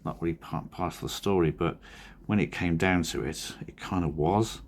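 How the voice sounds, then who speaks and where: A man with a croaky, husky voice from a cold, talking in a rambling, drowsy, self-correcting way as a cartoonist vlogger. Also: low-pitched, normal speed, slight background noise. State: hoarse from a cold. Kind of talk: casual studio vlog talk.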